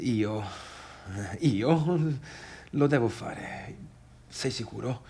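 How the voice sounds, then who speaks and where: An actor playing a bitter, needy, boastful failed pianist in a halting theatrical radio monologue that swings between murmurs and outbursts. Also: male voice, mid-range voice, mellow, husky, exaggerated voice. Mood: hesitant, wounded. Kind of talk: radio theatre monologue, addressing imagined listeners.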